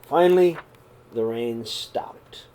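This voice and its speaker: A middle-aged man reading a children's picture book aloud in his living room, then talking warmly to young listeners, with a gentle, unhurried pace and the odd throat clear. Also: mid-range voice, slight background noise. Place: living room, seated on a sofa before curtains. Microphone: webcam or laptop camera at close range.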